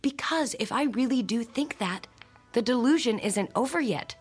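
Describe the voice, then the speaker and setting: A distraught wife in a radio drama, with a husky, breathy voice. She confides haltingly and anxiously, as if unsure of her own mind, with faint music behind her. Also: on the high side, lively intonation. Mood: anxious, bewildered. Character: distraught married woman confiding a strange experience to psychiatrist.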